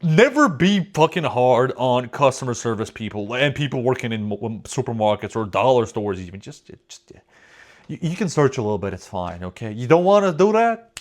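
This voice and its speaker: Casting: European man hosting a reaction video, rambling and profane, not a native English speaker, mid-pitched. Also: big pitch swings, normal speed. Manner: rambling, swears freely, trails off. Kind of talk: casual profane commentary.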